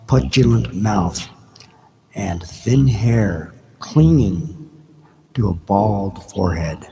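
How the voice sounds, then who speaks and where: A man reading a novel aloud in a dramatic, deliberate, measured style with pauses. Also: lowish voice, creaky, warm tone. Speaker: audiobook reader. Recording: noisy recording with background ambience. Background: background ambience sounds.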